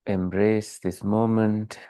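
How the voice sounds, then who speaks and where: A man leading a guided meditation as a teacher, calm and measured, leaving long pauses between short phrases. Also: fairly low pitch, clear, resonant tone, no echo, clean studio sound.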